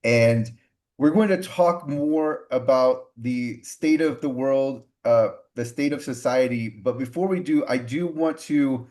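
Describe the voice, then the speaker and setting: A man's voice: medium pitch, darkish tone. A man from Texas hosting a podcast interview, asking rambling questions with fillers and restarts. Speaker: podcast host.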